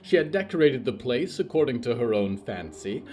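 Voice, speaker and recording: A man narrating scripted horror fiction as host, in a theatrical, deliberate style. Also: medium pitch, big pitch swings, darkish tone, a little hiss or hum.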